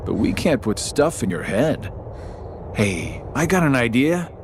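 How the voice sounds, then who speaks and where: An actor playing a smug, taunting middle-aged villain with a sardonic, mockingly playful drawl in a video game cutscene. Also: male voice, mid-range voice, big pitch swings, a little slow, background noise. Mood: breezy, condescending. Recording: game soundtrack music behind the voice.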